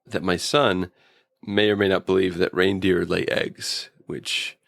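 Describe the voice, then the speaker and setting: A man's voice: deep voice, flat delivery, a little slow, gravelly, fairly bright tone, no room sound, clean studio sound. A man co-hosting a casual review podcast, telling things in a wry, joking, anecdotal way. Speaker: podcast co-host. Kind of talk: casual humorous review chat.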